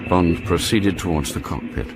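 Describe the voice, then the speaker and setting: An actor narrating a spy thriller radio drama as a grave storyteller. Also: male voice, low-pitched, moderate pace, over loud music. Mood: measured, suspenseful.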